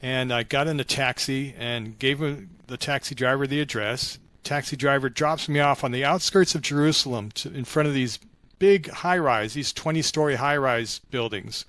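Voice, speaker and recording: A mature man speaks as an interviewed author, in a conversational storytelling style. His delivery is reflective and halting, with a medium-pitched voice recorded close and dry. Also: no echo. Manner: halting and reflective, animated when acting out remembered dialogue. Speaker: podcast guest, author of fiction.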